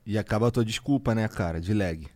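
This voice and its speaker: A man's voice: on the low side, fast talker, a little mellow. A man from Brazil co-hosting a podcast, chatting casually and tossing in joking asides. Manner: laid-back, joking asides. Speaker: podcast co-host.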